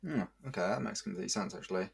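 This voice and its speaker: A man's voice: low-pitched, quick pace, vocal fry, husky. A man asking questions as a podcast interviewer, casual and a little hesitant.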